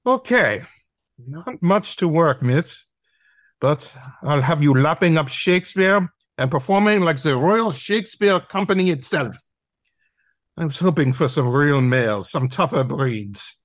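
A man's voice: fairly high pitch, lively intonation, unhurried, no room sound, clean studio sound. A man playing a haughty, demanding dog teacher of acting in an audio play, with a pompous, theatrical manner.

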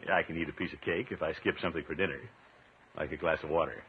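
An actor playing a pompous, jovial, food-loving middle-aged uncle in a 1950s radio comedy. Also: male voice, rumbling low, steady tone, racing. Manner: pompous, blustery, chuckling. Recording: tinny, noisy vintage radio recording.

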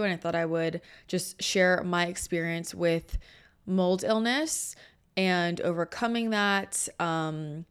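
A woman in her thirties talking alone on her podcast. Her voice sits low and comes out smooth, with a slight scratchiness today. She rambles casually and corrects herself as she goes. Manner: chatty, rambling, with self-corrections and fillers. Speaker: podcast host, channel and energy healer. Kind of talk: casual solo podcast talk.

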